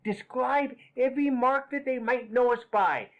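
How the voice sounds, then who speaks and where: A woman's voice: high-pitched, measured pace, muffled recording, pitched above the performer's own voice. A woman reading a storybook voicing a scheming old man who is frantic and wheedling. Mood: agitated. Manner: agitated, wheedling, intense.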